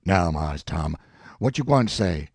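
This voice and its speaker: Guy putting on a low scared pleading fella, thick country dialect, loud and shaky, dry close sound. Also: expressive, measured pace, slightly rough, dry recording, exaggerated voice. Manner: pleading, distressed, heavy rural dialect. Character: frightened superstitious grown man, pleading.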